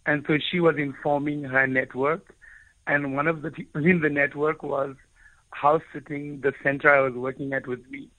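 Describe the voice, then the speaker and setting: A man's voice: on the high side, little pitch movement, moderate pace, dry recording. A man from South Africa whose first language is Afrikaans, an author telling his own story earnestly, often restarting his phrases as he searches for words. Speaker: author and guest. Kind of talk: reflective personal storytelling. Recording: tinny phone-like line.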